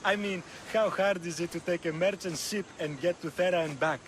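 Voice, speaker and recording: A man playing a wary male sailor who grumbles quickly. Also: sits high, a little hiss or hum, natural voice.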